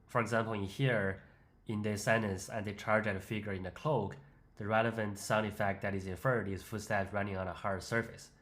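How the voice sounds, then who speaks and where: A man giving an explanatory, step-by-step voice-over for a software demonstration. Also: on the low side, fairly even intonation, brisk. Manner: step-by-step, instructional. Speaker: software tutorial presenter. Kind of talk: explanatory screen-demo voice-over.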